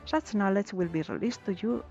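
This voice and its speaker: A woman reading aloud the lines of a calm, formal female arcanist officer in a game, in a measured way with small stumbles. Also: mid-range voice, faint music behind, lightly acted. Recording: slightly dull lo-fi stream audio.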